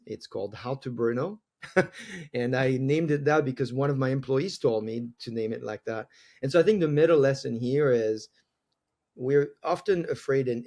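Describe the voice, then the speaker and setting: A man's voice: medium pitch, vocal fry, warm tone. A man in his forties from France with a French accent, a tech executive giving earnest, reflective answers as a guest in an interview. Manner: earnest, reflective, laughs easily.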